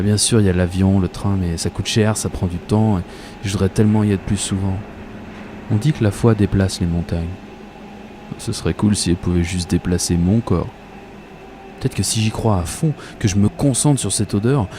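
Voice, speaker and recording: A man's voice: deep voice, steady tone, moderate pace, background noise, lightly acted. A man playing a dreamy, obsessive commuter who narrates a radio fiction in a colloquial, wry, confiding way. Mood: wry, musing. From Paris, France. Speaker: narrator and protagonist. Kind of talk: first-person radio fiction monologue.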